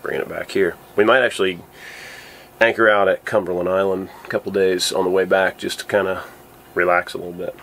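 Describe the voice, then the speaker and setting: A man's voice: sits low, a little fast, creaky. A man in his forties on his sailboat, casually talking through the week's plans for a vlog, recorded close on a handheld camera. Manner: relaxed, explaining plans. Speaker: sailing vlogger. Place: sailboat cabin and cockpit.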